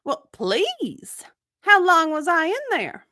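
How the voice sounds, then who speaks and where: A woman's voice: very high-pitched, wildly swooping pitch, slow pace, pushed and bright, dry recording, studio quality, full character voice. A woman playing a defensive, indignant witness in a dramatic reading of a deposition, evasive and insistent in clipped answers.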